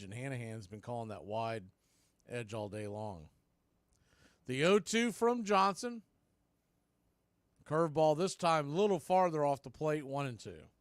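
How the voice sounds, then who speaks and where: A man calling live baseball on the radio, mixing clipped pitch calls with conversational, partisan asides. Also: dry recording. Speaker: baseball radio play-by-play announcer. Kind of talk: live sports commentary.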